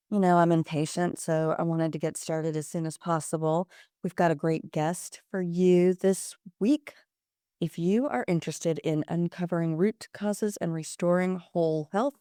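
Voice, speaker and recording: Upbeat woman host, smooth dry voice. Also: lowish voice, warm tone, no room sound. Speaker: podcast host. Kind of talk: casual host intro and interview.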